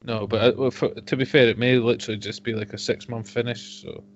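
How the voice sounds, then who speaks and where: A man co-hosting a casual whisky podcast, dry and a bit hesitant, often trailing off quietly. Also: medium pitch, flat delivery, fairly quick, a little hiss or hum. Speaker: podcast co-host. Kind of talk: casual tasting chat. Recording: dull lo-fi home podcast audio.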